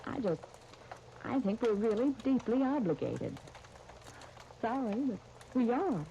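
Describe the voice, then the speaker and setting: An old, faintly hissy radio broadcast from 1939 carries a soft, mellow heroine. She is a tactful young businesswoman in a soap opera, earnest and reasonable, gently persuading in polite period radio style. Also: on the high side, big pitch swings, measured pace, vocal fry, lo-fi sound, full character voice. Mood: conciliatory, persuading. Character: Helen, earnest, tactful young dress designer and shop partner.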